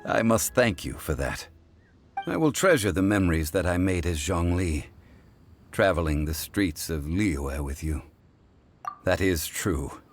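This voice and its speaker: An ancient god walking the earth as a dignified mortal gentleman. His deep voice is measured and courtly, with lively rises and falls. It is video game dialogue, with soft music behind it. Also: heavily acted. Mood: reflective. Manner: measured, formal, courtly and reflective. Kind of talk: scripted game cutscene voice acting.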